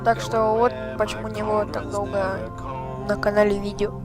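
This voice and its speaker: A man's voice: sits high, monotone, normal speed, loud music behind the voice. A man hosting a gaming channel, chatting casually and swearing as he reacts to the game. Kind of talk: casual let's-play commentary.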